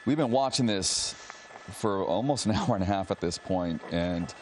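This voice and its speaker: An American man with a fairly low voice anchors live news. His delivery is unscripted and somewhat halting as he fills time and guides the coverage. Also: expressive, slight background noise.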